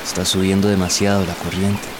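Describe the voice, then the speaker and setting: Practical, exasperated flood survivor, played by a man: wary, low-leaning register, Central American Spanish accent, rushing water behind, radio drama. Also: little pitch movement, lightly acted. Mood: worried, exasperated. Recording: rain and rushing stream noise behind.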